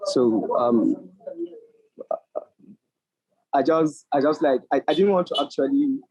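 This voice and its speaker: Gravelly, husky man on muffled call. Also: mid-range voice, darkish tone, no echo. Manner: halting, repeating words as he goes. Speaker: contributing poet. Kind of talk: unscripted answer at an online literary event. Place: at work, on a weak internet connection. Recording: muffled, lo-fi internet call, dry.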